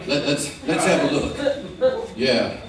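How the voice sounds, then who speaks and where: A man playing a steady American frontier lawman in a radio western, plainspoken and hesitant. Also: on the high side, vocal fry, a crowd in the background, exaggerated voice. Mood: intent, serious. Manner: hesitant, plainspoken, thoughtful pauses. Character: steady, easygoing male frontier lawman of the Old West. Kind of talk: old-time radio western acting. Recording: echoey, distant old radio recording, faint noise.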